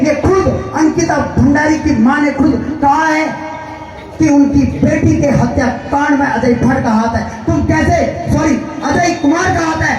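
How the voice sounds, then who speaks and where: Fiery man shouting to a rally crowd. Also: very high voice. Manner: impassioned, shouting, rhetorical questions. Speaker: rally speaker. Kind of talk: fiery political speech to a crowd. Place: outdoor rally ground. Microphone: public address mic heard from a distance. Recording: echoey outdoor PA from far off, crowd noise. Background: crowd murmur.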